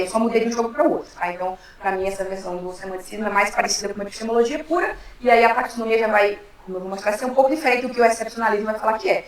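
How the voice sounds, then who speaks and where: A woman with a Brazilian accent giving an explanatory academic talk in an informal way, often adding little tag questions to check in with listeners. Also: fairly high pitch. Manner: informal, frequent tag questions seeking assent. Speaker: academic workshop speaker. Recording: very echoey room, distant microphone.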